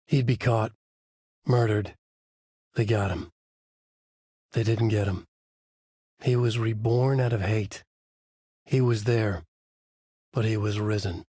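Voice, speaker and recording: A man narrating a dark thriller game as a brooding, haunted writer, in a deliberate, literary, dramatic delivery. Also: lowish voice, slightly rough, no room sound, clean studio sound. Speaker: voice actor playing a writer protagonist who narrates.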